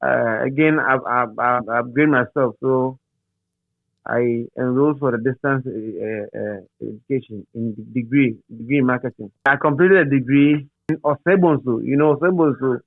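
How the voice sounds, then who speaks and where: A middle-aged Ghanaian man reminiscing as an interview guest in a halting, repetitive, animated storytelling manner. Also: mid-range voice, creaky, dry recording. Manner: halting, repeats words and phrases, animated storyteller. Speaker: interview guest, radio DJ and presenter. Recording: thin phone-like sound.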